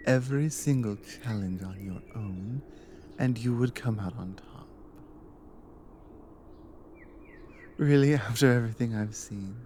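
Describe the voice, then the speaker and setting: Slow, mellow Nordic warrior wife, softly teasing, acted by a woman. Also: a little hiss or hum. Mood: earnest admiring. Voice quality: soft, low, breathy with whispered stretches. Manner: teasing, unhurried, affectionate. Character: teasing, devoted Nordic adventurer wife, dominant, warmly intimate. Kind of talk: ASMR roleplay, intimate bedside talk.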